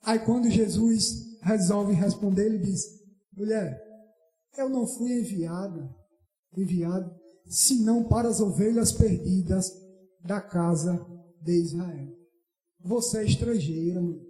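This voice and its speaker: High-pitched Brazilian man preaching, echoey hall. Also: unhurried, mellow. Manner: emphatic, repeats phrases, exclaims praise. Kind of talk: impassioned Pentecostal evangelistic sermon. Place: church hall during evening service. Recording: echoey church hall PA, faint music.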